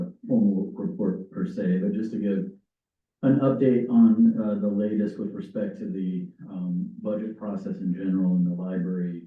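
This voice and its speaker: A man giving a formal budget update at a public meeting, in measured, formal phrasing. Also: low voice. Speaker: staff member giving a budget report. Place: council meeting room. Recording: echoey chamber, distant tinny mic.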